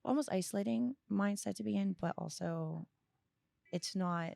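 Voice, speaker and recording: A woman in her early twenties giving candid, reflective interview answers as a podcast guest, often halting and correcting herself. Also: medium pitch, gravelly, warm tone. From United States, grew up partly in Florida and abroad. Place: small indoor lounge, on a leather couch. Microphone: close boom-arm podcast microphone with headphones.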